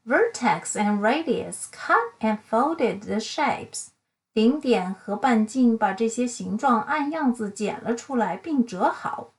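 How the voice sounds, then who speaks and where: A woman reading a picture book aloud, telling the story clearly and evenly, alternating lines. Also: a little high, lively intonation, takes it slowly. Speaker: storybook reader. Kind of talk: bilingual read-aloud.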